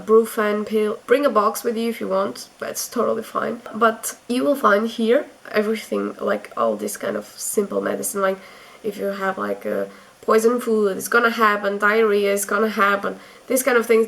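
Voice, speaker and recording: A woman's voice: medium pitch, vocal fry, a bit bright, rough recording. A young woman from Portugal with a Portuguese accent, giving chatty advice in a casual vlog, full of fillers. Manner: chatty, many fillers and restarts. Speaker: vlogger.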